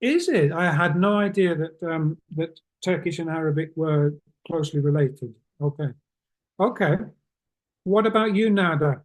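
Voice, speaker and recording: A man teaching an online English class, asking slow, encouraging questions with many pauses. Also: a little high.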